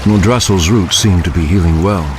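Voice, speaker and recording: An actor playing a solemn, wise, ancient elf druid leader in a video game, speaking gravely. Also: male voice, deep voice, normal speed, background noise, natural voice. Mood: somber. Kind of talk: video game cinematic acting.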